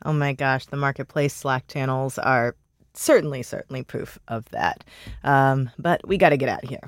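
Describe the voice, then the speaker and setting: A woman co-hosting a podcast in relaxed, chatty talk, reading the news and listener messages. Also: rumbling low, moderate pace, no room sound, clean studio sound. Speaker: podcast co-host. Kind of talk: casual news chat.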